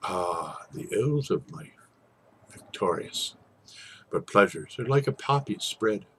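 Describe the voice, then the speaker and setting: A man with a husky voice, speaking as a storyteller and savouring his phrases with theatrical pauses. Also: on the low side, animated, fairly bright tone, a little hiss or hum. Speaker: storyteller and poetry reader. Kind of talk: informal introduction, then dramatic poetry recitation.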